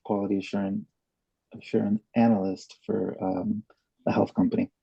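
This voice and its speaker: A man's voice: fairly low pitch, little pitch movement, moderate pace, vocal fry, mellow, slightly rough, no room sound, dull audio. A man in his thirties casually introducing himself as a dating show contestant. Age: 30s.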